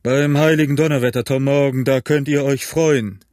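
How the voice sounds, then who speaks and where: A man voicing a big, shrewd, jovial tavern keeper in an audiobook, hearty, loud and commanding. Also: medium pitch, measured pace, dry recording, studio quality, natural voice. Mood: blustering, badgering. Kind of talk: acted audiobook character.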